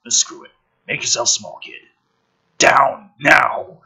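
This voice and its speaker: A man's voice: mid-range voice, slow pace, gravelly, bright, forceful tone, slightly rough, exaggerated voice. A man voicing a frantic, commanding soldier father who barks urgently at his son in a dramatic script reading. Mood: barking, urgent.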